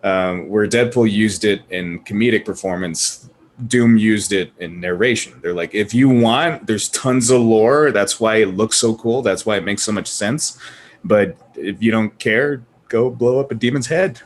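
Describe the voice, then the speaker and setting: A man's voice: lowish voice, measured pace. A man chatting casually as a panelist in a group discussion, with a jokey, mock-exclaiming manner. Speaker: podcast panelist. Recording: clear background noise.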